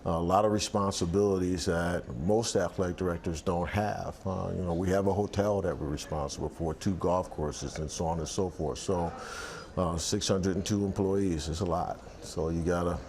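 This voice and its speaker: A man's voice: sits low, fairly even intonation, normal speed, darkish tone. A man in his sixties, an athletic director, talking reflectively in a sit-down interview on an outdoor balcony, with many restarts and fillers. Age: 60s.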